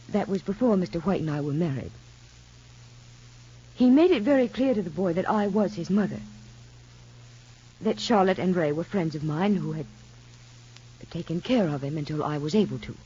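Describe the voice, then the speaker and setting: An actress playing an anxious young mother in a radio soap opera, speaking politely and hesitantly in measured phrases. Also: on the low side, expressive, dark tone. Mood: anxious, confiding. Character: anxious young mother confiding in child psychologist. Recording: muffled, husky-sounding old radio recording.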